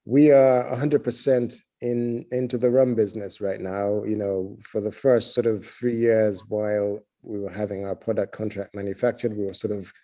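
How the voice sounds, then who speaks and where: A middle-aged man from Uganda, a head distiller, answering interview questions in a relaxed way with many fillers. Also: fairly low pitch.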